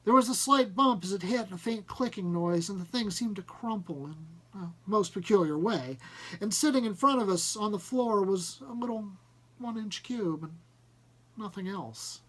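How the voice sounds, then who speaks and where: A man narrating a first-person short story, reading in an expressive, measured way. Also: high-pitched, low-quality recording. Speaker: audiobook narrator.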